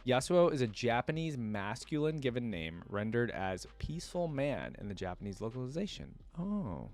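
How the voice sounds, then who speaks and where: A man's voice: mid-range voice, big pitch swings, moderate pace, darkish tone, faint music behind. A man hosting a reaction video, thinking aloud and talking to viewers in an informal, slangy, chatty way.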